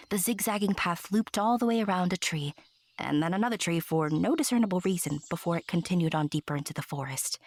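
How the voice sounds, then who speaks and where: A woman playing a chatty, whimsical narrator in a fantasy audio drama, breathy and confiding, with playful asides. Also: fairly low pitch, no echo. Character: chatty, whimsical storyteller fond of her enchanted forest.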